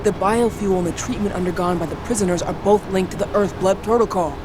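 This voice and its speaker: A tough female commander from a video game, acted by a woman. She speaks in a medium pitch, direct and urgent. Clear outdoor noise sits behind her voice. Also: fast talker, close to an everyday voice. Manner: direct, serious briefing. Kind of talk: video game cutscene acting.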